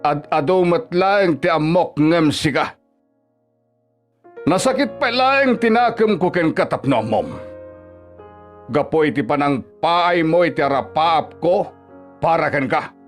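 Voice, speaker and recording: A man's voice: a little high, animated, a little slow, pauses often, faint music behind. A man playing a grumpy, scornful old father in a radio drama, scolding and sarcastic, with an Ilocano accent. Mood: indignant, bitter. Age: older. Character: grumpy, money-minded old father scorning his daughter-in-law.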